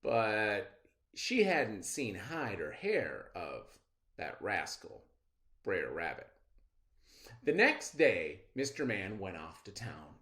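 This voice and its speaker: A man reading a children's story aloud as a storyteller, with expressive, deliberate phrasing. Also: fairly low pitch.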